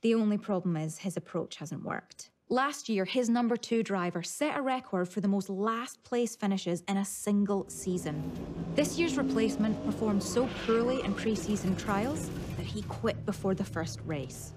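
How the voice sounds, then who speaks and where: An actress playing a matter-of-fact female motorsport pundit giving measured documentary commentary. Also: medium pitch, animated, darkish tone, soft background music, close to an everyday voice.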